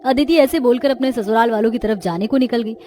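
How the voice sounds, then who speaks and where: High-voiced woman narrating a cartoon tale. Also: fast, mellow, faint music behind. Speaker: story narrator. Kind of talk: scripted dramatic cartoon narration.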